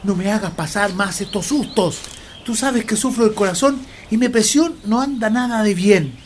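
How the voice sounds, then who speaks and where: A woman's voice: mid-range voice, moderate pace, a little hiss or hum, lightly acted. A woman voicing a man in his seventies with memory loss, anxious and disoriented, in a community radio drama. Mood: worried scolding. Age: 70s.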